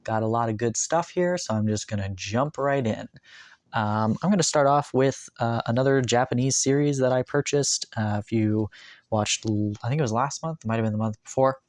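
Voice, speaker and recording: A man's voice: medium pitch, normal speed, no room sound. Chatty man full of ums, dry close sound. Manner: rambling, many ums and uhs, enthusiastic. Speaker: manga collector vlogger. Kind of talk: casual haul video talk. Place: bedroom, indoors.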